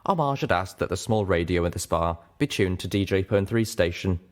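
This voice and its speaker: Low-voiced man narrating a story. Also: animated, fairly quick. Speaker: audiobook reader. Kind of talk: first-person scripted story narration.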